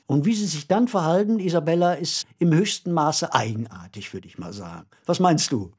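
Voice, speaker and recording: A man who is an author, talking reflectively in a radio discussion and growing animated when he makes a point. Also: fairly high pitch, moderate pace, breathy edge.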